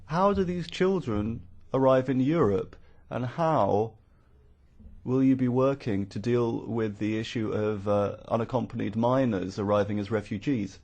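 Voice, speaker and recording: A man working as a conference interpreter, rendering formal speeches live in a halting, phrase-by-phrase way. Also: mid-range voice, a little mellow.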